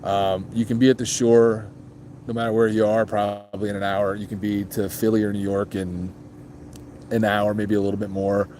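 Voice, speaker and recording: A man's voice: fairly low pitch, level tone, normal speed, mellow, a little hiss or hum. A man hosting a casual motorcycle vlog, chatting loosely with viewers and answering their questions, with plenty of ums and restarts. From grew up moving around as an Army child. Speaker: motorcycle vlog host reading live chat.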